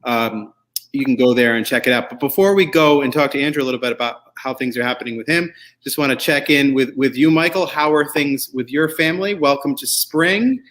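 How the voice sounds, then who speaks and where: A man hosts a show in a loose, conversational style. His voice sits at an average pitch. He rambles through his points with a joking, informal manner. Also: a little fast, fairly bright tone. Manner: rambling, jokey. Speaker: show host. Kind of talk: casual, chatty show hosting.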